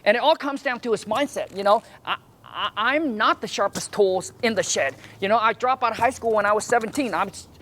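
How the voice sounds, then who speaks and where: A man's voice: sits high, fairly quick, bright, forceful tone, a little hiss or hum. A man from Vietnam, giving motivational business advice to camera in an earnest way, often tagging his points with "right?" and "my friend.".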